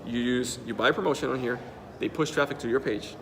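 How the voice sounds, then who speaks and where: Fast, energetic man with a Dominican accent. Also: medium pitch, crowd noise. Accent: Dominican Spanish. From Dominican Republic. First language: Spanish. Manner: energetic, emphatic, repeats key points. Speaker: digital marketing host. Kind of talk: conversational coaching.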